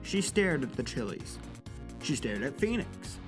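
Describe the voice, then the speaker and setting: A man reads scripted story narration as the narrator of an audio drama. His pitch is average, and quiet music plays beneath him. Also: big pitch swings, a little slow.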